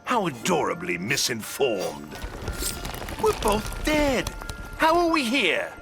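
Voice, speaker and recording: An actor playing a manic, cackling villain clown, theatrical and mocking, with sinister drawn-out taunts. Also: male voice, on the high side, wildly swooping pitch, gravelly, heavily acted. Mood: sly taunting. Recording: game music behind the voice.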